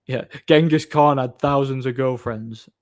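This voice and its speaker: A man's voice: medium pitch, fairly even intonation, measured pace. A man, chatting casually on a livestream, reading out viewer messages and answering with mocking boasts. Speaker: live streamer reading chat.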